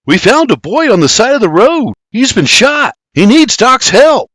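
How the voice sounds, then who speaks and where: A man's voice: very high-pitched, big pitch swings, moderate pace, no room sound, clean studio sound, voice pushed up, exaggerated voice. A man voicing a brave, take-charge frontier man who calls out urgently and commandingly in a story. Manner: shouting, commanding.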